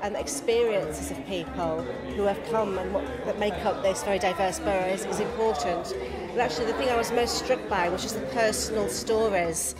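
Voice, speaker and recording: Mid-pitched woman amid loud crowd. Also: normal speed. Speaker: exhibition visitor. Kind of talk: informal event interview. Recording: loud public crowd chatter.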